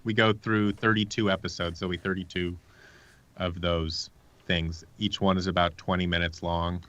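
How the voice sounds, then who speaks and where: A man's voice: low-pitched, flat delivery, normal speed, fairly bright tone, dull audio. A man talking casually as an interview guest, explaining at length and acting out bits of conversation. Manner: explanatory, acts out short quoted exchanges. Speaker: guest, audio drama creator.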